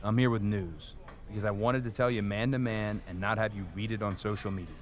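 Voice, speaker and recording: A man's voice: fairly low pitch, steady tone, brisk, slight background noise, close to an everyday voice. A man playing a confident, cordial rival wrestling champion in a game cutscene, straight-talking and self-assured. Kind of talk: video game cutscene dialogue. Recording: faint background ambience.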